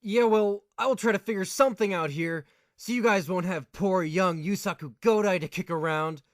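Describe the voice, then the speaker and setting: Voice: mid-range voice, normal speed, no echo, close to an everyday voice. An actor playing a frustrated, easily flustered young male student in an amateur audio drama. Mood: exasperated.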